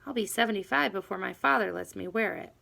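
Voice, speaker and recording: Woman playing shy young schoolgirl in a kids' storybook: quiet, sighing, resigned, creaky-voiced. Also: mid-range voice, fast, exaggerated voice. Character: quiet, shy, small seventh-grade girl. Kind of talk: children's audiobook character voice.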